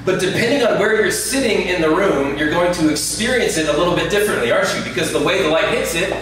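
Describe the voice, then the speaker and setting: Loud male preacher in an echoey room. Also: high voice, animated, fast talker, a crowd in the background. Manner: loud, conversational, checks in with the audience. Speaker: guest preacher. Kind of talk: sermon. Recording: very echoey room, distant mic, faint ambience.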